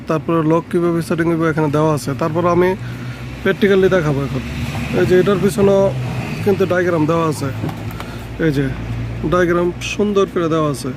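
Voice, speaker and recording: Bangladeshi guy doing a casual electrician how-to, kinda high voice, repeats himself, noisy background. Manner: informal, repeats his points. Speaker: electrician presenting a how-to video. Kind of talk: casual hands-on tutorial narration.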